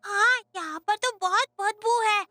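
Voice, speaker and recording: A woman voicing an excitable, sweet little girl in a cartoon dub, gushing and childlike. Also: extremely high pitch, unhurried. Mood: disgusted. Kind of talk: animated cartoon dub acting.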